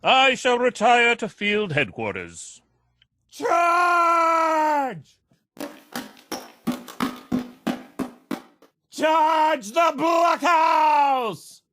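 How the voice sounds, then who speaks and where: An actor playing a deluded, pompous nephew who declaims like a military commander in a radio comedy. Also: male voice, very high-pitched, very animated, very slow, sharp tone, raised voice, heavily acted. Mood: pompous, commanding, then charging, exuberant. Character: deluded, booming nephew who believes he is Teddy Roosevelt. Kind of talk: radio comedy theatre acting.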